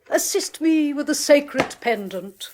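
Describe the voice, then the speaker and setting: An actress playing a bossy, imperious spinster who works as a medium, giving commands in a grand and impatient way in a radio play. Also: female voice, sits high, expressive, takes it slowly, slight background noise. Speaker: medium and clairvoyant.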